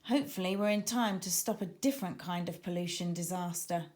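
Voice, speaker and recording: A woman's voice: lowish voice, fairly quick, a little mellow, lightly acted. A confident young girl scientist from a children's storybook, read by a woman. She is friendly and purposeful, explaining things with assurance. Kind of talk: children's storybook character reading.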